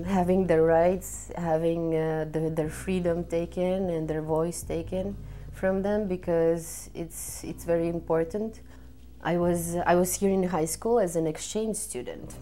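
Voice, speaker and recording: Serbian woman, 30s, kinda low mellow voice, slow and halting w lots of ums, talking to camera. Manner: halting, earnest, many pauses and fillers. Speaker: exhibiting artist. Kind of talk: interview monologue to camera. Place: indoor studio in front of a red backdrop.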